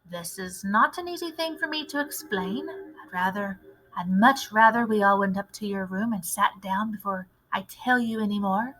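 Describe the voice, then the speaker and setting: A woman voicing a determined elderly grandmother in a storybook, tactful and measured but firm. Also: mid-range voice, fairly bright tone, natural voice, rough recording. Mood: polite, grave. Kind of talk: storybook read-aloud character voice.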